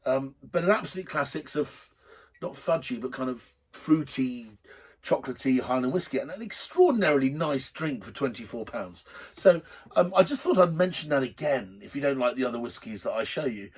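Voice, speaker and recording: A middle-aged man presents a drinks show straight to camera. His voice is husky and sits at an ordinary male pitch. He talks off the cuff, rambling and joking, with blunt, sweary asides. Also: expressive, normal speed. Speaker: host of a drinks video show. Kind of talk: casual, unscripted chat to camera.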